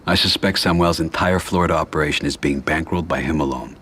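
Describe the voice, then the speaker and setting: An actor playing a cold, calculating middle-aged mob boss who speaks calmly and with quiet command in a game cutscene. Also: male voice, extremely low pitch, a little hiss or hum. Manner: calm, measured, quietly commanding.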